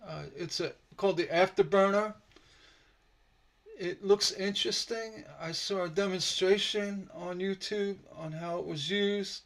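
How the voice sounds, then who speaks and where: A man teaching stained glass on a casual live stream, slightly hoarse from a sore throat, relaxed and rambling with many fillers. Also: high-pitched, a little slow. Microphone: computer mic on a live stream.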